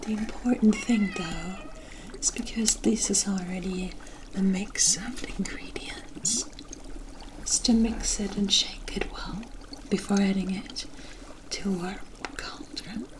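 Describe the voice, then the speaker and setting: Kindly forest witch played by a woman for ASMR roleplay: slow, hushed whisper, soothing and formally spoken. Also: mid-range voice, steady tone, natural voice. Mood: gentle, confiding. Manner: gentle, reassuring, formal storybook phrasing. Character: kindly forest witch brewing a protective potion.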